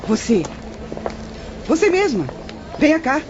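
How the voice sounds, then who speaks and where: A woman's voice: sits high, big pitch swings, slow pace, over music, full character voice. A woman playing a haughty, calculating young mother in an audio drama, speaking imperiously. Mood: haughty, persuasive. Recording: dull audio, street-like background noise.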